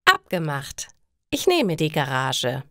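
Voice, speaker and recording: A woman voicing an overwhelmed young new mother in a learner story, with slow, careful, emphatic delivery. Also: low voice, wildly swooping pitch, fairly bright tone, dry recording, clean studio sound, heavily acted. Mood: decided.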